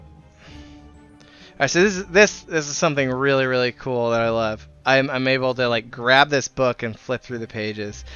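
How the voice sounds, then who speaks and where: A man with a very bright, forward voice talks casually to viewers on a game livestream. He is friendly and chatty, speaking at a middling pitch. The sound is a little dull, with music playing behind him. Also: clear, resonant tone. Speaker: live streamer.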